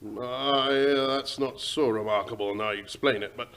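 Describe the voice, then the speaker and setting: A man playing a humble, flustered, late middle-aged working-class Englishman with thick, gravelly speech, hesitant and deferential, in 1940s radio drama style. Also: medium pitch, normal speed, fairly bright tone, close to an everyday voice. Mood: impressed, flustered.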